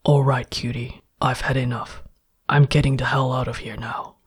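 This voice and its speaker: Gruff, menacing male prisoner voiced by a female narrator, low and gravelly. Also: little pitch movement, normal speed. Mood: angry, menacing. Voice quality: deep, gravelly. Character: gruff angry captive man, cursing.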